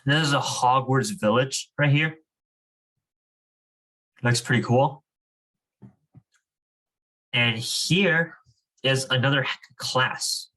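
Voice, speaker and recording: A young man speaks at an unhurried pace in a medium-pitched voice. He leads a children's reading club, casually explaining things and reading aloud. His phrasing is informal, full of small conversational asides. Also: pushed and bright, rough recording. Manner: informal, frequent "like" and "you know". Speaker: student reading club leader.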